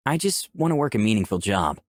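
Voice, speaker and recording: Man as gentle young artist, animated pitch. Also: fast, no room sound, studio quality. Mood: earnest. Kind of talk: visual novel dialogue and first-person narration.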